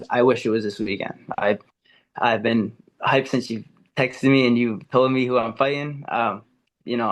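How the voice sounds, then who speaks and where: A young man, an amateur fighter, talking eagerly in a casual podcast interview, with many fillers and restarts. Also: medium pitch. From America, Ecuadorian and Puerto Rican family. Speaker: amateur MMA fighter, guest.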